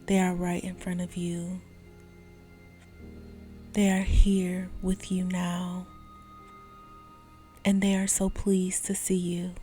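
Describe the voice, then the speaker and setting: A woman guiding a visualization meditation in a soft, slow, soothing voice, often dropping to a whisper. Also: on the low side. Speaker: meditation guide.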